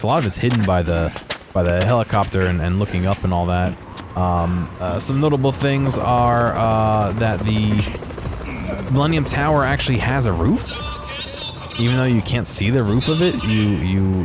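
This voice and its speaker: A man giving casual, unscripted video game commentary in a halting, hesitant way. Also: lowish voice, background noise. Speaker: gaming video creator. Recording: voice-over with game music behind.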